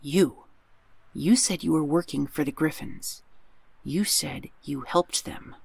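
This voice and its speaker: Woman acting a very gravelly, sardonic rescuer. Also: low voice, slow pace, darkish tone, no room sound, exaggerated voice. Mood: accusing. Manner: blunt, sardonic. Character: brash, sarcastic, impatient rescuer, foul-mouthed. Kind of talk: audio roleplay acting.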